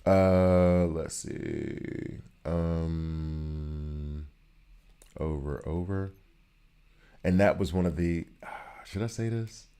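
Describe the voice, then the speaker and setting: A man's voice: deep voice, extremely slow, pauses often, dark tone, clear, resonant tone. An American man in his thirties, chatting casually with viewers while he plays, rambling and joking as he answers their questions. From United States.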